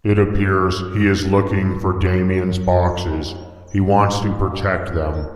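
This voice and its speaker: A man voicing a polite, literal-minded robot assistant with a formal, deliberate manner in a scripted game roleplay. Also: sits low, level tone. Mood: matter-of-fact. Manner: formal, deliberate, matter-of-fact. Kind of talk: scripted Minecraft roleplay acting. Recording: echoey, long reverb, music behind.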